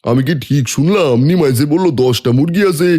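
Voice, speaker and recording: A man doing a friendly village chicken trader in an animated folk tale who teases and jokes with an old friend. His voice is a bit high, yet soft and mellow. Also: fairly quick, close to an everyday voice. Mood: jovial. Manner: friendly, jovial, teasing. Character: middle-aged village chicken trader Ratan.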